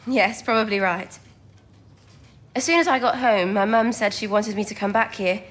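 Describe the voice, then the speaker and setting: A young woman playing a bright, affectionate twenty-year-old codebreaker in a period wartime radio drama. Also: mid-range voice, normal speed, close to an everyday voice. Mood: earnest, affectionate. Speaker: actor in an audio drama.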